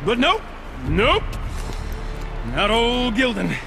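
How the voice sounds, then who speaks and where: An actor playing an eccentric, excitable older male tinkerer in a video game, with a theatrical, wordy way of talking. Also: extremely high pitch, slow pace, creaky, music in the background, full character voice. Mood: giddy relief. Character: eccentric, excitable older man, rambling self-taught tinkerer. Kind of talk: video game character acting.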